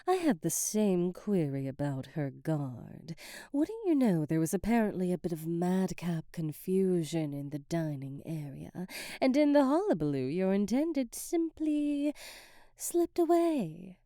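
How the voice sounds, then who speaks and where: A woman acts out a silky, scheming sorceress from a fantasy ASMR roleplay, with a low, very soft and dark voice. She speaks slowly, teasing and insinuating in ornate courtly phrases. The sound is close and dry. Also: sing-song, dry recording. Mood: amused, mocking. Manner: theatrical, teasing, insinuating, ornate formal diction. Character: sly, silky, manipulative female sorceress advisor to a queen.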